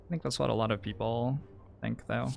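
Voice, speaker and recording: A man's voice: medium pitch, fairly even intonation, a little fast, vocal fry, background noise. A man from New Zealand, a game streamer, chatting with viewers in a thoughtful, hesitant, musing way. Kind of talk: casual livestream chat.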